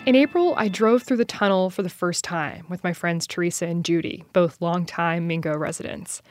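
A woman's voice: lowish voice, normal speed, soft background music. A woman reporter reading scripted narration for a radio feature.

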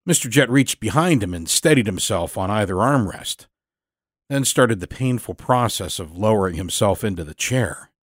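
A man reading a first-person story as a narrator, in measured, dramatic phrases, close to the microphone. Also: fairly low pitch, expressive, husky, dry recording.

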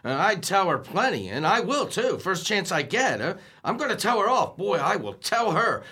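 A man's voice: high-pitched, sing-song, moderate pace, pushed and bright, slightly rough, exaggerated voice. An actor playing a nervous, lovestruck young man who stammers and boasts in an old-time radio comedy. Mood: dejected, indignant. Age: young adult. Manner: stammering, naive, slangy. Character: nervous, lovestruck, boastful twenty-one-year-old suitor.

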